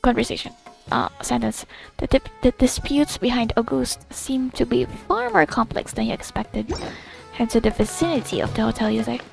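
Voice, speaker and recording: A woman's voice: fairly high pitch, moderate pace, vocal fry, rough recording. A young woman giving casual, chatty gameplay commentary, thinking aloud in short fragments. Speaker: game streamer. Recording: game music behind the voice.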